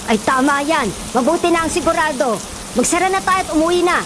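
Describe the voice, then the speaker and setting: An actress playing a worried, practical shopkeeper's wife in a Filipino radio drama. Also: female voice, sits high, fast talker, background noise, lightly acted. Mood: anxious, urgent. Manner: anxious, exclaiming. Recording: loud music and rain effects behind.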